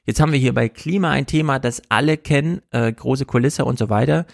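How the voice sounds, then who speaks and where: Gravelly, opinionated male stream host. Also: mid-range voice, brisk, no echo, studio quality. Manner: opinionated, repeats "grotesk", restless. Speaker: livestream host. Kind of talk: casual political commentary.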